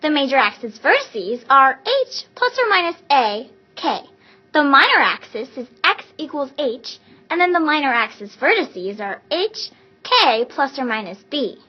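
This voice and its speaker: A woman's voice: high voice, sing-song, a little slow, muffled recording, soft background music. A woman presenting a scripted maths lesson in a recited, step-by-step way.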